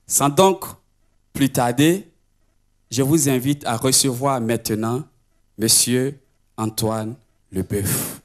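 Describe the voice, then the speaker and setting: A man hosting a seminar session, formally introducing the program in a measured, rhetorical way. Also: mid-range voice. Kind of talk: formal scripted introduction of speakers.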